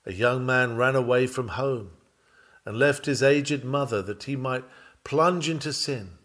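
A man reading an old-fashioned sermon dramatically, with solemn, fervent and measured oratory. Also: medium pitch, fairly bright tone. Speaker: sermon reader.

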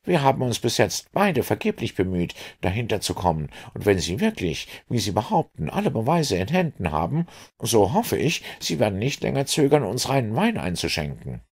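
A man's voice: lowish voice, very animated, normal speed, darkish tone, slightly rough, voice pushed up, heavily acted. A man voicing a second police inspector who insistently urges the detective to speak up. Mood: urging. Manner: insistent, urging. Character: eager, urging male police inspector.

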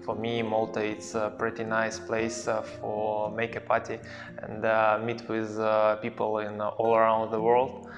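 A man's voice: lowish voice, a little slow, faint music behind. A man giving casual interview answers as a language student, with many filler words. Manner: filler-heavy, flat delivery.

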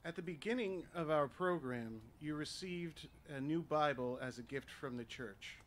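A man's voice: a little high, normal speed, a little hiss or hum. A man reading a formal address to newly confirmed members at an outdoor church service.

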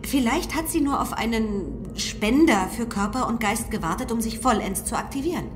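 A woman's voice: fairly high pitch, animated, moderate pace, background noise, natural voice. Actress as a confident young spacewoman. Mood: explaining. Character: confident, alert young woman space crew member. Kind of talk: German audio drama acting. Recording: echoey room, music behind.

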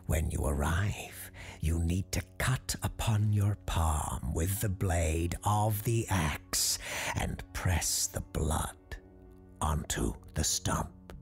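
A woman voicing an ancient, solemn talking Christmas tree spirit in a slow, hushed, whispery manner. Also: rumbling low, faint music behind, exaggerated voice. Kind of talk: audio drama storytelling.

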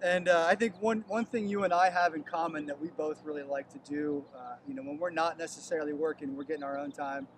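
American guy in his 30s, pretty high voice, chummy interview host, outside with some hum behind him. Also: steady tone, fast talker, few pauses. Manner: chummy, informal, addresses people as man. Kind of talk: casual upbeat promotional interview. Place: outdoors on an empty baseball stadium field.

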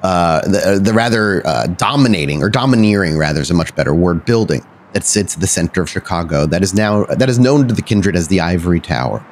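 A man's voice: low-pitched, lively intonation, brisk, hardly any pauses. A man running a vampire tabletop game as storyteller, improvising wordy, descriptive narration and correcting himself as he goes.

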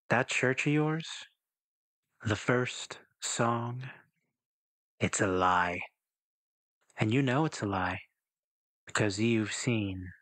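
A man voicing an enigmatic, quietly menacing figure with slow, measured, cryptic speech in a tabletop roleplay. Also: fairly low pitch. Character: enigmatic, menacing man cloaked in smoke, calmly goading.

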